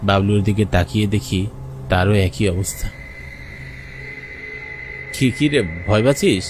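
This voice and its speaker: A man's voice: lowish voice, measured pace, over music, lightly acted. A man playing a frightened village fisherman, narrating a cartoon horror story in the first person with suspense and anxious urgency. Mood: nervous, suspenseful.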